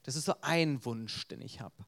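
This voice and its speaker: A man's voice: medium pitch, normal speed, gravelly, fairly bright tone. A man with a Swabian accent, an evangelist giving an earnest evangelistic sermon with storytelling.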